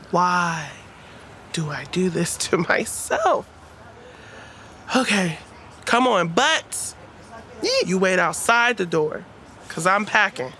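Casting: slangy, sassy young woman, reluctant helper with a soft heart, lively pitch, audio drama. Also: drawn-out words, pauses often, a little hiss or hum, exaggerated voice. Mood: exasperated. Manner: slangy, teasing, expressive.

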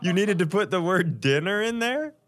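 A man's voice: high-pitched, lively intonation, moderate pace, fairly bright tone. A man hosting a comedy podcast with casual, crude joking banter and a chuckling delivery.

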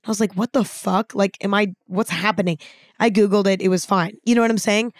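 A young woman in her twenties with a raspy voice, talking frankly and casually on her own podcast, with some swearing. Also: mid-range voice, fairly even intonation, a little fast, no echo, studio quality. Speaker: podcast host. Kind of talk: candid solo podcast talk.

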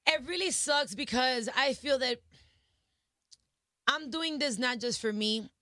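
A young woman of Dominican family talks in a casual interview, emphatic and heartfelt, slipping in Spanish phrases. Her voice sits fairly high and rings bright and forceful. The sound is close and dry. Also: measured pace, no room sound. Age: young adult. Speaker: guest, singer and entertainer.

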